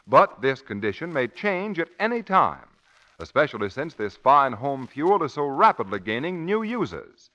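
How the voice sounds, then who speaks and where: A man's voice: medium pitch, sing-song, normal speed, bright, forceful tone. A man announcing a 1940s radio show from a script in an emphatic, formal style. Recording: dull, muffled 1940s radio recording.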